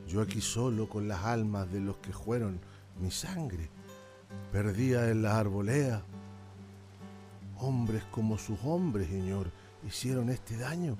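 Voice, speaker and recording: An old, embittered islander in a radio play. His voice sits low and speaks plainly, with grief and deference. Soft guitar music plays behind him. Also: takes it slowly. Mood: grieving, bitter. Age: older. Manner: plain, mournful, deferential speech. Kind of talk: radio drama acting.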